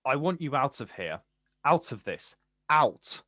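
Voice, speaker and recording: An actor playing a bitter, protective older brother, confrontational and incredulous, in a naturalistic stage drama. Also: male voice, medium pitch, sing-song, measured pace, fairly bright tone, no room sound, studio quality. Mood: angry. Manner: confrontational, incredulous, clipped questions.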